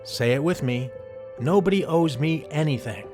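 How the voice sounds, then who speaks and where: A man playing a cocky, gruff, streetwise field mouse in an audio drama, teasing and brusque with a drawl. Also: a little high, moderate pace, over music, natural voice. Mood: bossy, teasing.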